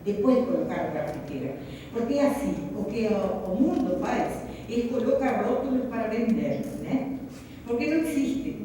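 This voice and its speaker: An Argentine woman talks in a loose, rambling way, slipping Spanish words into her Portuguese. Her voice is dark and mellow. She is recorded from a distance in a hall with a long, roomy echo. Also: fairly high pitch, slight background noise. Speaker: guest artist. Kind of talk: conversational talk to an audience. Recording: echoey hall, mic far off.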